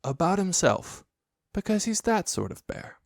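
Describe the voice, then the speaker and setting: A man's voice: high voice, big pitch swings, measured pace, gravelly, no echo, studio quality, voice pushed up, heavily acted. A man voicing a curious, earnest little boy in a children's storybook, eager and politely pleading. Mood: eager, coaxing.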